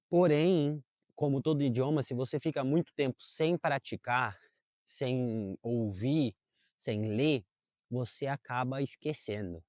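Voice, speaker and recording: A man's voice: medium pitch, dry recording, clean studio sound. A young adult man talking casually to camera in a park, chatty and full of fillers. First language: Portuguese. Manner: chatty, self-correcting, lots of fillers. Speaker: vlogger. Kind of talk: casual vlog talk to camera. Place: outdoors in a park.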